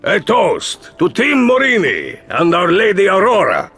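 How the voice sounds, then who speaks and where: A man's voice: high-pitched, wildly swooping pitch, unhurried, a bit bright, husky, faint music behind, full character voice. An actor playing a commanding, fatherly racing team boss in a video game. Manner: authoritative. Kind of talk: dramatic video game acting.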